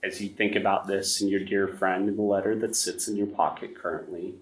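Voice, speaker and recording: A man running a tabletop horror game as game master, narrating in a measured storytelling way. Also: sits low, flat delivery. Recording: echoey room, mic at a distance, faint music.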